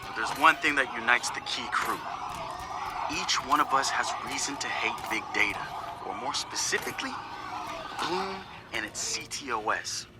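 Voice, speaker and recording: An actor playing a confident young hacker activist, recording a casual, slangy, wry audio log for a video game. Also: male voice, mid-range voice, expressive, moderate pace, very noisy, natural voice. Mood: determined. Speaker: hacker group leader narrating audio logs.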